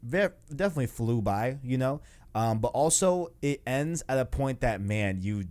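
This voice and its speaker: A man's voice: mid-range voice, animated, moderate pace, darkish tone. A man hosting a video game discussion show, chatting casually in a rambling way full of verbal tags like "you know". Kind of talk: casual chat.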